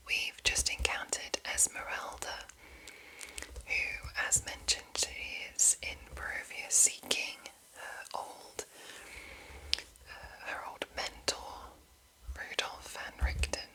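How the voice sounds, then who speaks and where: A woman whispering in a breathy, unvoiced ASMR style, reading deliberately with pauses between short phrases. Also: pushed and bright, poor audio. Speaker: ASMR host. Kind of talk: whispered ASMR reading of fantasy game rules.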